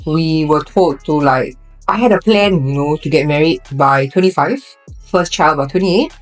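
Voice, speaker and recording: A woman's voice: low voice, moderate pace, faint music behind, rough recording. A young woman from Singapore with a Singaporean English accent, chatting casually on a podcast and filling her talk with fillers and restarts. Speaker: podcast co-host.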